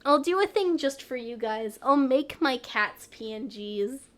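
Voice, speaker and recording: A woman's voice: high-pitched, expressive, a little slow. A young woman with a stuffy nose, chatting casually and rambling on a live stream from home. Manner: rambling, trailing off, frequent laughs.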